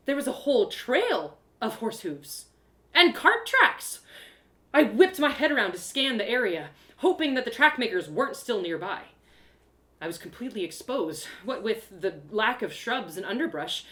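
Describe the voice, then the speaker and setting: Woman playing an excitable young adventure heroine, roomy sound. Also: fairly high pitch, normal speed. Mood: suspenseful. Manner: theatrical, breathless exclamations, gasps, self-deprecating humour. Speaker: audio drama performer. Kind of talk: one-woman audio drama storytelling, first person.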